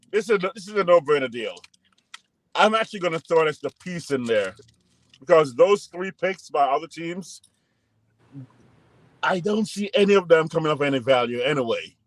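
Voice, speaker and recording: A man with a fairly high voice argues his side in casual sports talk, rambling and joking, often cutting in on the other speaker. He is heard on a call mic in a dry, close sound with no room around him. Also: expressive, normal speed, pauses often, no room sound. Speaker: podcast co-host.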